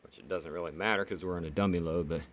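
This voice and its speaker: A man's voice: low-pitched, fast. A man giving a casual, unscripted product demonstration as a hobbyist reviewer, plainspoken and half talking to himself as he works. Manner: plainspoken, muttering to himself while working. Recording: steady background noise, amateur home video.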